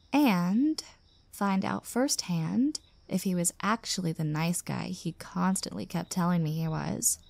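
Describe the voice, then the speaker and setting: A woman's voice: mid-range voice, creaky, warm tone, no room sound. A woman playing an exasperated single young woman venting about a bad date in an intimate audio roleplay, with sarcastic asides. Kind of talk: roleplay audio drama, intimate conversational monologue.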